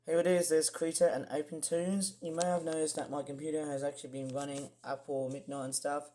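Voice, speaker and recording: Young man, croaky voice, rambling vlogger. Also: a little high, flat delivery, fairly quick, warm tone. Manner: rambling, many fillers and restarts. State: croaky, clearing throat. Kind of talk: casual screen-share vlog.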